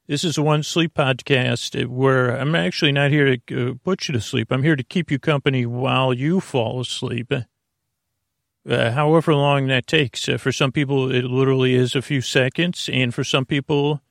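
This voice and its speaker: A man with a creaky voice hosting a sleep podcast, meandering haltingly through a lulling, soothing bedtime monologue full of tangents. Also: medium pitch, no echo, clean studio sound.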